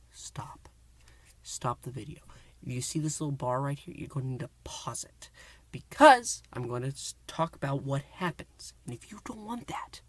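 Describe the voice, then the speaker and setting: A man's voice: mid-range voice, fairly bright tone, dry recording. A man recapping an audio drama in a casual, playful review, often dropping into a whisper. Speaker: podcast reviewer.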